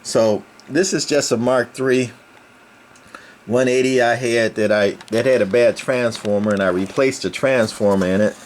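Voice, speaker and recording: A man presenting an informal hands-on technical demo in a halting, folksy, explanatory way, recorded indoors on a camera microphone. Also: mid-range voice. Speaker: channel host. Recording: clear background noise, room ambience.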